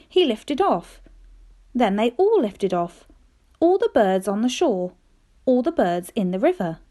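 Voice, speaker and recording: Female, storybook reader for children. High, lively pitch, soft-edged mellow tone, expressive delivery. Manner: animated, careful storytelling with dramatic emphasis. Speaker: teacher reading aloud to pupils.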